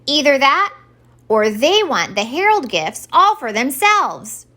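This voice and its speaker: A woman's voice: high voice, very animated, takes it slowly, sharp tone, voice pushed up, full character voice. A woman voicing a mopey, suspicious, stubborn old Santa Claus in a children's storybook, dramatic and insistent. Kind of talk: expressive children's storybook read-aloud.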